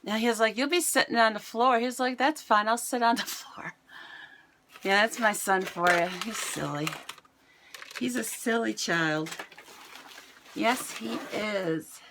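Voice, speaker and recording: A middle-aged American woman with a raspy voice, chatting casually to camera in a home vlog. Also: fairly high pitch, unhurried, pauses often, a bit bright, slight background noise. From United States. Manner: chatty, rambling, self-mocking. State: raspy voice today. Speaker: vlogger. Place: home, indoors.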